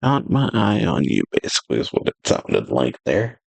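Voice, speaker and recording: A man giving casual, low-key let's play commentary in brief, murmured reactions to a game. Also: huge pitch swings, moderate pace, gravelly, breathy edge, dry recording. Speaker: gaming streamer. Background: game music.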